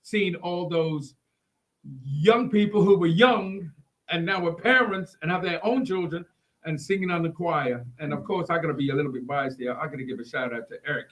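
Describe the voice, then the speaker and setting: A man co-hosting a casual live talk show, excitable and often repeating his phrases as he goes. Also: on the high side, moderate pace, poor audio.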